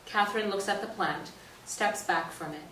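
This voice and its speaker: A woman's voice: medium pitch, normal speed, fairly bright tone. A woman reading scripted stage directions as the narrator of a play reading. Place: echoey indoor stage or hall. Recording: echoey room, distant mic, faint noise.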